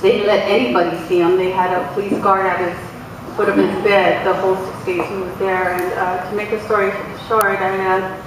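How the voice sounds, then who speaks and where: A young adult woman giving a halting, emotional speech to a gathering, with many pauses and restarts and a loud voice. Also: mid-range voice, level tone. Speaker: guest speaker, community organizer. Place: large echoey hall. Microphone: far from the mic. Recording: echoey hall from far off, noisy.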